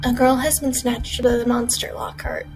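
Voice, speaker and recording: A woman's voice: fairly high pitch, steady tone, moderate pace, soft background music, natural voice, rough recording. A woman playing a sardonic school professor in an audio drama, with a pointed, needling manner. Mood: sly, goading.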